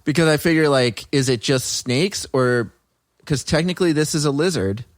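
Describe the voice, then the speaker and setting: A man's voice: on the high side, moderate pace, no echo, studio quality. Giggly man in casual podcast banter. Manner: giggly, often laughing through words. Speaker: podcast co-host. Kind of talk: casual joking chat.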